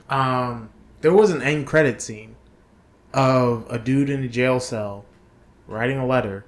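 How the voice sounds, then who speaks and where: Slow, laid-back man chatting. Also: medium pitch. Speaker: podcast co-host. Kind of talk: casual chat reviewing a movie.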